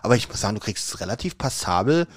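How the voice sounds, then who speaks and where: A man's voice: lowish voice, big pitch swings, fast. A man co-hosting a podcast in casual conversation, with a dry, teasing manner. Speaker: podcast co-host. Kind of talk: casual chat.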